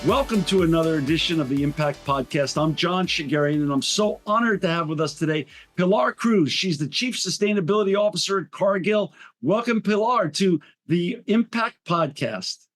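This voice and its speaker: A man's voice: high-pitched, moderate pace, few pauses, soft background music. A man hosting a podcast interview who is effusive and polite, with frequent praise for his guest. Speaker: podcast host. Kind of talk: podcast interview and sponsor read.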